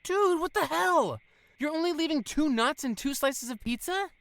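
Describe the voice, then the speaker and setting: A woman's voice: high-pitched, animated, moderate pace, a bit bright, no echo. A woman playing an indignant, protective teenage sibling in an audio drama. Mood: annoyed.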